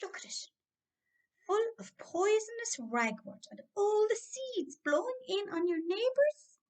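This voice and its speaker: A woman's voice: extremely high pitch, big pitch swings, a little slow, a little mellow, pitched above the performer's own voice, heavily acted. A woman voicing a tiny, crafty male leprechaun shoemaker in a chirpy storybook manner. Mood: mocking, scolding. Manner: chirpy, squealing when riled.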